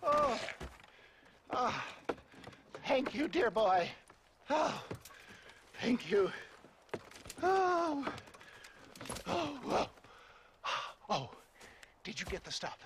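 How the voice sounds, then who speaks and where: A man's voice: very high-pitched, very animated, very slow, long pauses, background noise, exaggerated voice. An actor playing a flamboyant, theatrical older con-man selling health tonics, with a chuckling, wheedling manner. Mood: theatrical scheming. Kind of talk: video game cutscene acting.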